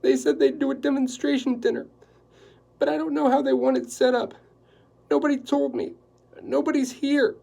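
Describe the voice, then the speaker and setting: A man reading aloud and voicing a nervous, tearful woman housekeeper who talks in a high, fretful whimper. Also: lively intonation, normal speed, gravelly, long pauses, mellow, heavily acted. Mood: anxious, fretful.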